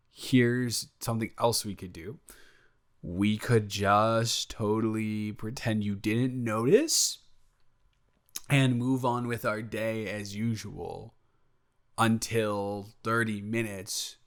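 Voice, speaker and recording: A man's voice: fairly low pitch, steady tone, slow pace, fairly bright tone. Man playing a flustered, rambling, joking male roommate. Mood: awkward, evasive. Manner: rambling, stammering, self-interrupting, playful. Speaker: audio roleplay performer. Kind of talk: improvised boyfriend roleplay addressed to the listener.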